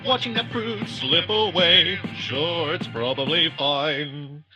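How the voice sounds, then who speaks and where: A man's voice: a little high, slow. A man giving a theatrical, comic musical intro performance. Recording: loud music over the voice. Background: loud music.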